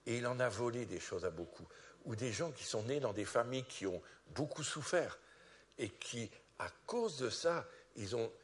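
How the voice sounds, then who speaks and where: Animated French-speaking pastor, lively pitch. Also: male voice. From France. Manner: animated, rising to loud peaks. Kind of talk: testimony sermon.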